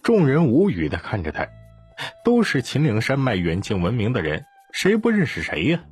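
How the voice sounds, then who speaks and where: A man's voice: mid-range voice, huge pitch swings, normal speed, a little mellow, breathy edge, faint music behind. A man narrating a scripted audiobook story.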